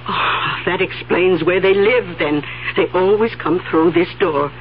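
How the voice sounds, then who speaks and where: An actress playing a confused, stubborn, fretful elderly aunt in a 1970s radio drama, hesitant and stammering yet insistent. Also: female voice, fairly low pitch, unhurried, faint music behind, natural voice. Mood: agitated, certain. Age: older. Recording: dull old radio recording, faint hum.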